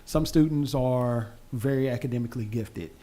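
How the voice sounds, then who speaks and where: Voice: medium pitch, normal speed, warm tone. A board member asking careful, polite questions at a meeting.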